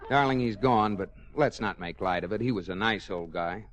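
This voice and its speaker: An actor playing a mild, sardonic, exasperated husband in a 1940s radio comedy. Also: male voice, lowish voice, moderate pace, close to an everyday voice. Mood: earnest. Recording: faintly noisy old radio recording.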